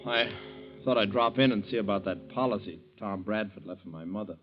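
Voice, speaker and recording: An actor playing a grasping, anxious stepson in a 1940s radio drama. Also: male voice, on the low side, fairly quick, music in the background, natural voice. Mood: feigned casual. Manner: anxious, demanding. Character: grasping, agitated stepson chasing inheritance money. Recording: dull old 1940s radio recording.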